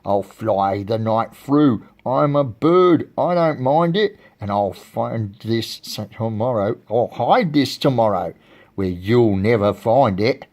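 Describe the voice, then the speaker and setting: A man's voice: medium pitch, animated, slow, darkish tone. A man voicing a nasty, mighty storybook eagle, sneering and boastful.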